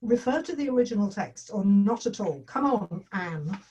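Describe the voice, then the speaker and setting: A haughty literary purist played by a woman at a table read, pompous and declamatory. Her voice is husky and mellow, heard a little way from the mic. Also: mid-range voice, animated, normal speed, far from the mic. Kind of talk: table read of a comedy screenplay.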